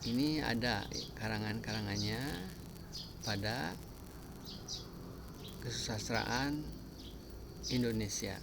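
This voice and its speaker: A man giving a spoken lesson, listing examples one by one with frequent pauses. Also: fairly low pitch. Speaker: language teacher. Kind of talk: spoken lecture on language varieties. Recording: clear background noise with birdsong.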